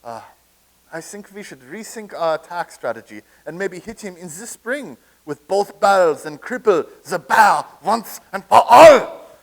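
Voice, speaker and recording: A man acting in a staged comedy reading as a boastful, thin-skinned, excitable wartime German officer. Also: high voice, measured pace, gravelly, heavily acted, low-quality recording. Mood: earnest, plotting.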